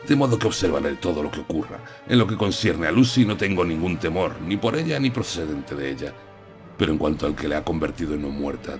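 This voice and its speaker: A low-pitched old professor played by a man speaks over prominent music. He is grave, courtly and resolute, a vampire hunter in a gothic horror audiobook, choosing his words with solemn deliberation. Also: lightly acted.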